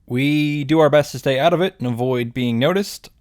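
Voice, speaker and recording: A man's voice: mid-range voice, moderate pace, a little mellow, no echo, clean studio sound, faint music behind. A man recapping a visual novel as a host, wry and irreverent, with joking asides and swearing for comic effect. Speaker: video game summary host. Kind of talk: sarcastic story recap with asides.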